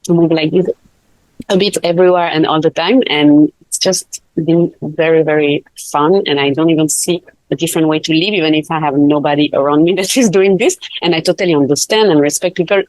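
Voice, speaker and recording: Low-voiced woman, laughing easily. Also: fairly even intonation, brisk, darkish tone, no echo, low-quality recording. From Switzerland. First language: not English. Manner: laughs often, many fillers and restarts. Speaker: guest, life coach. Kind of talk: casual interview chat.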